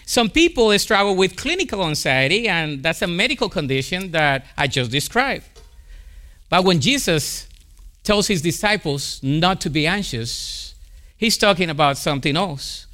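A man's voice: a little high, expressive, moderate pace, pushed and bright. A man with a Colombian accent preaching a sermon, emphatic and repeating key lines for emphasis. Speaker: pastor.

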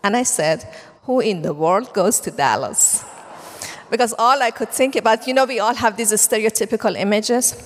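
A woman's voice: a little high, moderate pace. A middle-aged woman from Iran with a Persian accent, earnestly telling her life story to an audience, with plenty of fillers. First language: Farsi. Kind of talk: personal testimony talk. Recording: long reverb of a hall.

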